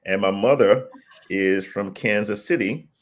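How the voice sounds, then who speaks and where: A middle-aged man raised in Liberia, speaking as an interview guest in a thoughtful, measured way with frequent pauses. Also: on the low side. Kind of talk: reflective conversational storytelling.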